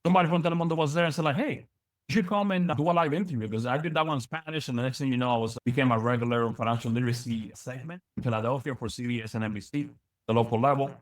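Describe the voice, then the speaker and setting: Business coach, male, young, from the Dominican Republic; quick, energetic lecture full of restarts. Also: medium pitch, no room sound. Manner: energetic, repeats words, many fillers. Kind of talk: live online training lecture.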